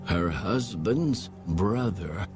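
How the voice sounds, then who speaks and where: A man narrating scripture from a script, solemn and deliberate, with measured phrasing. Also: sits low, expressive. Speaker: audio Bible narrator. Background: background music.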